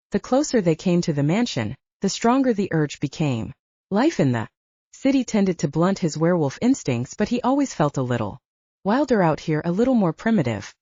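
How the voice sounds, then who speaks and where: A woman's voice: fairly low pitch, dark tone, no echo. A woman reading scripted fiction as an audiobook narrator, in a slightly dull recording.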